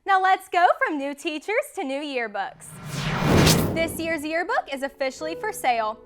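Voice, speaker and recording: A teenage girl anchoring a student-run school newscast, reading scripted news in a brisk, upbeat way. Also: high voice, animated, very noisy. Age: teens. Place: school studio.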